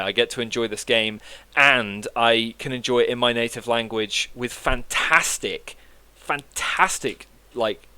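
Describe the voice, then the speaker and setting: A man co-hosting a casual podcast, giving his opinion in a relaxed, loose way with some swearing. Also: mid-range voice, smooth, no echo. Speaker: podcast co-host. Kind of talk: casual chat.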